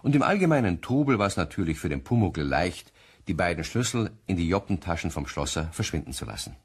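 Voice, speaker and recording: Deep, husky male storyteller, very animated. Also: brisk. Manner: expressive storyteller. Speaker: narrator. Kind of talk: storytelling narration for a children's radio play.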